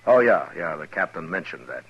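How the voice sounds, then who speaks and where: An American man playing a steady, sardonic Old West marshal in a dry, laconic 1950s radio acting style. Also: deep voice, brisk, breathy edge, full character voice. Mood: measured, courteous. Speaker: lead actor and narrator. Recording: muffled 1950s radio recording, music behind.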